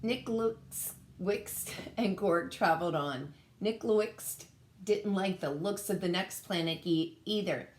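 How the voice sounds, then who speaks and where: A woman reading a picture book aloud as a teacher, pausing to explain and put questions to young children. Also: lowish voice, a little hiss or hum. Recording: echoey room sound.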